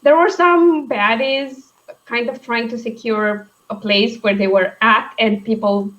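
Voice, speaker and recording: A young woman, co-hosting a casual chat, talking quickly with frequent laughs and asides. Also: fairly high pitch, steady tone, a bit bright, dull audio. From Romania. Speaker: co-host.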